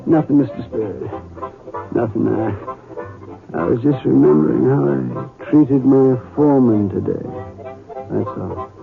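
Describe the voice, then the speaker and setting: Creaky, halting old Western rancher. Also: male voice, medium pitch. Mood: regretful. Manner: halting, pleading, stammering. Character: frightened, regretful old miserly Western rancher. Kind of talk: old-time radio drama acting. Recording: tinny vintage radio, faint music.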